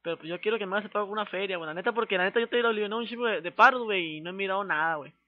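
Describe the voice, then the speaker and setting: Through very muffled phone audio comes a man with a northern Mexican accent and a notably high voice. He talks fast, in thick street slang. His manner is pushy and threatening, and he circles back on his phrases as a prank caller. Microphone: telephone call.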